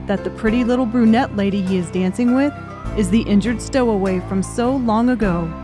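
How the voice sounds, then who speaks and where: A woman's voice: mid-range voice, brisk. A woman reading scripted audiobook narration in the present tense, telling a story. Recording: music under the voice. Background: instrumental music.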